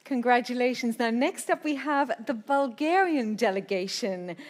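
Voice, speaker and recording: A woman's voice: on the high side, normal speed. A woman hosting a live award ceremony, announcing delegations in an upbeat, chatty way with small laughs. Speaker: ceremony host. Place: large hall with audience. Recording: live event audio with faint crowd and noise.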